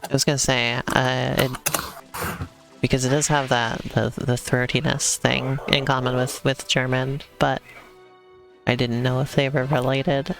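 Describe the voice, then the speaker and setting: A man's voice: mid-range voice, a little slow, vocal fry, faint music behind, poor audio. A man co-streaming a video game, chatting in an easygoing way and asking curious questions. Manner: relaxed, easygoing, asks questions. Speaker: streamer co-host. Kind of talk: casual chat while gaming.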